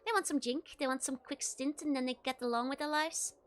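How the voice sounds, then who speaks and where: A woman's voice: high voice, fairly quick, close to an everyday voice. A Dutch woman reading as a grim, weary ex-mercenary man. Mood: grim storytelling. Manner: reads the lines aloud with light dramatic emphasis, Dutch-accented. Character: weary male former sellsword, grim storyteller.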